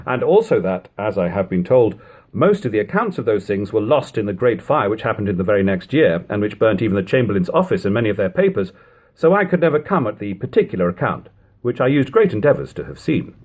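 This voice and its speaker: A man reading an old historical narrative aloud in a measured, expressive way, as an audiobook reader. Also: lowish voice. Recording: lo-fi amateur home recording.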